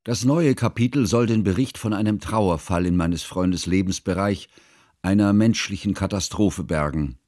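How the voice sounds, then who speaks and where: Low-voiced, earnest scholarly chronicler played by an actor. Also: male voice, darkish tone, dry recording, lightly acted. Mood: grave, regretful. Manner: formal, pedantic, measured diction. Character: earnest, learned male chronicler, mournful and scholarly. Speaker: narrator. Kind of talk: literary radio drama narration.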